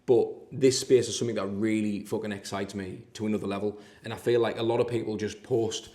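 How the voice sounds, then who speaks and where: A man with a Sunderland (Mackem) accent giving an excitable, swear-laden vlog rundown from a hotel room as a podcast host, leaning on "obviously". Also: on the low side, a little fast. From Sunderland, England. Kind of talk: casual vlog commentary.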